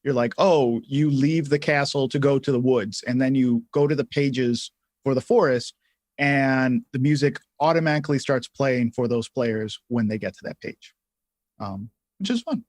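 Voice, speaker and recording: A man's voice: mid-range voice, normal speed, a little mellow, no room sound. Man chatting casually, close dry sound. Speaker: livestream co-host answering viewer questions. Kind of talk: casual explanatory chat.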